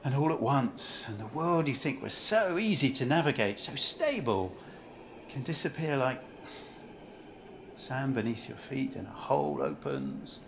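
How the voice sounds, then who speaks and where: A blunt, world-weary man down on his luck acted by a man in an amateur radio drama. He is bitter and cynical, worn by grief, and his pitch rises and falls widely. It is a home recording with faint noise behind him. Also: animated, measured pace, vocal fry, a bit bright. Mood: resigned, reflective. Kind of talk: amateur radio play acting.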